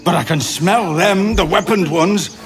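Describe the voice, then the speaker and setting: Casting: mournful, loyal beast, slightly high voice, slow and simple speech, game character. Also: male voice, over music. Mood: bereft. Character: forlorn, loyal animal abandoned by its master. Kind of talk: video game creature acting.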